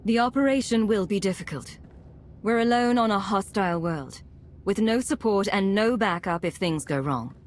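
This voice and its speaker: An actress playing a cool Imperial agent in a video game, controlled and authoritative. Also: female voice, mid-range voice, normal speed, slight background noise, lightly acted. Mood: resolute. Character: cool, commanding female Imperial secret agent. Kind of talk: video game dialogue acting.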